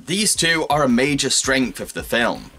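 A man's voice: mid-range voice, very animated, unhurried, sharp tone, husky. A young man narrating a scripted review in an enthusiastic, emphatic manner. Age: young adult. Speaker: film reviewer, video host. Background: background music.

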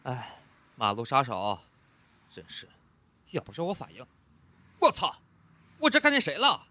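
Male actor as a brash twenty-something teaser, lively swinging intonation, audio drama. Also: medium pitch, a little slow, full character voice. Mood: outraged. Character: cocky, teasing young man, brash and needling.

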